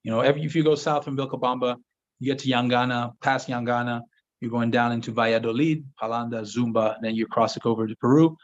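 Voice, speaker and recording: A man's voice: mid-range voice, quick pace. French-native guy doing a live q&a, easygoing and rambly, lots of ums, kinda muffled stream audio. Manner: relaxed, rambling, with frequent fillers and restarts. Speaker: host of a live Q&A. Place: home office in Southern Ecuador.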